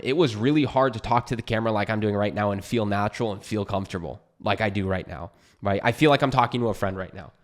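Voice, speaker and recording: Earnest American man, casual vlog talk. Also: on the low side. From California, United States. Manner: earnest, encouraging, conversational. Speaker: YouTuber giving advice. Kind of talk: casual unscripted talk to camera.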